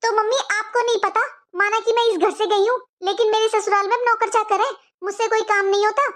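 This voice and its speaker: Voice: extremely high pitch, fairly even intonation, a little fast, fairly bright tone. A performer voicing a resentful, defiant young married sister-in-law in a cartoon moral story, complaining and scolding. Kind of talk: animated moral story dialogue.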